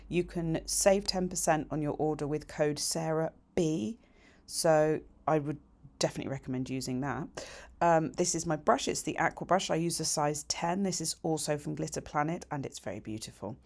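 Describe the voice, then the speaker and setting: A middle-aged woman with a croaky voice, chatting her way through a nail tutorial voiceover with practical, cheerful asides. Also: sits low, a little mellow. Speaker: nail technician teaching a tutorial.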